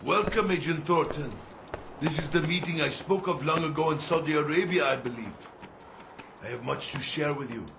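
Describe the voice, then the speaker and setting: An actor playing a shrewd middle-aged Saudi arms dealer in a video game, speaking in formal, grave, measured phrases. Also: male voice, on the high side, vocal fry, noisy background. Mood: grave, knowing.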